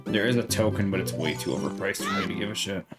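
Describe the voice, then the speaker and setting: A man's voice: on the low side, fast talker. A man casually talking through his gameplay on stream, thinking aloud, swearing now and then, and answering his chat. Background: game music.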